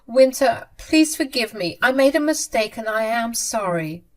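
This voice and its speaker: A woman doing a shrill, begging older mother. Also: lively intonation, unhurried. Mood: pleading. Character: pleading, desperate older mother-in-law woman.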